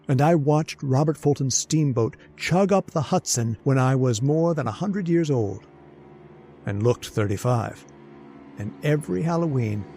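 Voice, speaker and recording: Man acting gravelly, uneasy henpecked husband. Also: mid-range voice, dark tone. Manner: wistful storytelling, flustered stammering in dialogue. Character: nervous, henpecked, long-lived husband of a witch. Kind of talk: old-time radio horror narration and acting.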